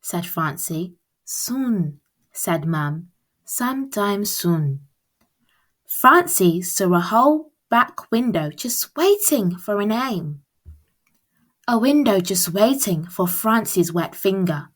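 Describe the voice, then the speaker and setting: A woman reading a children's picture book aloud as storyteller, with expressive, deliberate phrasing. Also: medium pitch.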